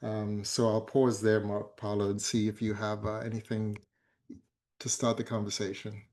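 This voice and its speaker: A man in his fifties from Zambia who speaks as a guest in a conference fireside chat, measured and full of hesitant fillers. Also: on the low side, little pitch movement, darkish tone. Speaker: board member, guest speaker.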